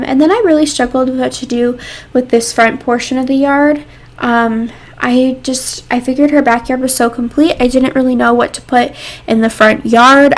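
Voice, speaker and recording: A young woman with a congested, nasal voice, chatting casually over a game build with lots of ums and likes. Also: on the high side.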